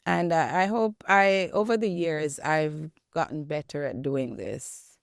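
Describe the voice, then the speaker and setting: A woman in her fifties from Jamaica, with a Jamaican accent, answering interview questions in a reflective, measured way. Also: deep voice, gravelly, no echo, clean studio sound. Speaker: guest, educator and author. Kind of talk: interview conversation.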